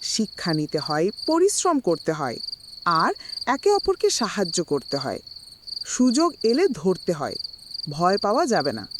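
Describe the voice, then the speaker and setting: A woman's voice: on the low side, wildly swooping pitch, measured pace. A woman playing a kindly, wise old village grandmother in a cartoon, explaining patiently over background noise. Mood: patient, instructive.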